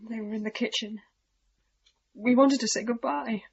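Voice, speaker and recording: Breathy, distressed young wife. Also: female voice, a little high, fairly even intonation, moderate pace, lo-fi sound. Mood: shocked, hushed. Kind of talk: radio play acting.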